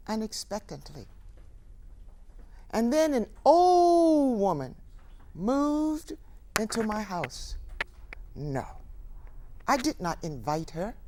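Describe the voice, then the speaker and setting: An actress plays an older woman telling her life story on stage, wry, wounded and defiant. Her voice sits fairly high and moves through wide, emphatic swings. She takes her time, leaving deliberate, dramatic pauses between phrases. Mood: solemn, grieving. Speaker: stage actor performing a monologue. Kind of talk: theatrical dramatic monologue.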